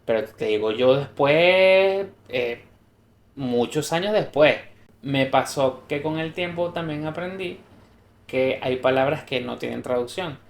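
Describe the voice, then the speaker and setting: A man's voice: medium pitch, a little slow. Man chatting in an echoey room. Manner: thoughtful, explains with restarts and examples. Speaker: podcast co-host. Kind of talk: casual conversational podcast chat. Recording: roomy echo.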